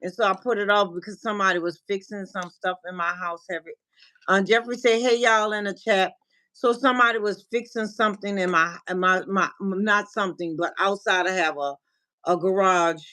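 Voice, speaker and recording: Woman, livestream host, bright voice, chatty, repetitive restarts, casual chat, from Minnesota. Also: mid-range voice, fairly even intonation, moderate pace. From Minnesota, USA. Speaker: livestream host and life coach.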